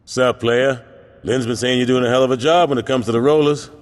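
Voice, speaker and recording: A man's voice: mid-range voice, steady tone, fairly quick, in a large hall, close to an everyday voice. A man playing a laid-back gang contact calling on the phone in a video game, using street slang.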